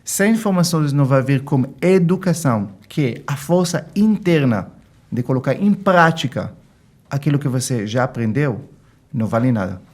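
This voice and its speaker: Male interview guest, Israeli accent, mid-pitched, emphatic explainer who voices quoted dialogue. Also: animated, normal speed, darkish tone. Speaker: guest financial consultant. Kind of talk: explanatory interview talk with anecdotes.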